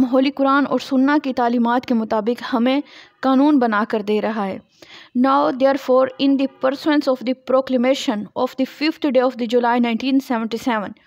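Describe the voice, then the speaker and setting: A Pakistani-accented woman teaches law in a high voice. She speaks didactically, setting out questions and then explaining the answers. The recording is dry and close with a slightly dull, lo-fi tone. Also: no echo. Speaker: law lecturer. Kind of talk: scripted-outline lecture explaining a statute, reading clauses aloud.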